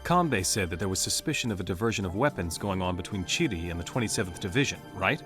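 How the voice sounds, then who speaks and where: A man's voice: deep voice, animated, fast, a bit bright, faint music behind. A man playing an analytical, skeptical special-ops team member in a video game, probing as he reasons aloud. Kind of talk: English dub acting in a video game cutscene.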